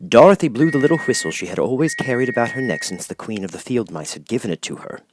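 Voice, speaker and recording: A man's voice: mid-range voice, lively intonation, fast talker, slight background noise. A man reading a children's audiobook as a scripted story narrator.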